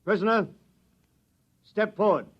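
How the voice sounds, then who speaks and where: Colonel for old sci-fi radio play: raised pitch, icy authority, measured orders, lo-fi recording. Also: male voice. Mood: commanding. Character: cold, commanding male military colonel, ruthlessly formal. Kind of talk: 1950s radio drama acting. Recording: dull vintage radio recording.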